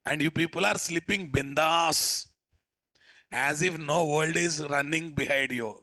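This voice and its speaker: Rough-voiced male instructor. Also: a little high, measured pace, pushed and bright, no echo. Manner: scolding, teasing, keeps asking class questions. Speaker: programming instructor. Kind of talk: live coding class lecture.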